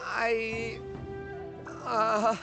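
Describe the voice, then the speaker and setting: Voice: mid-range voice, vocal fry. An actor playing a nervous, deferential drink seller in a video game, hesitant and placating. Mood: alarmed, embarrassed.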